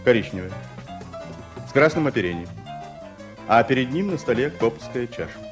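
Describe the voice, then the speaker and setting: An actor playing a reserved, embittered private secretary in a radio play, with clipped, restrained delivery. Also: male voice, mid-range voice, expressive, normal speed, loud music behind the voice, lightly acted. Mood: tense, reporting. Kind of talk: Soviet radio play acting.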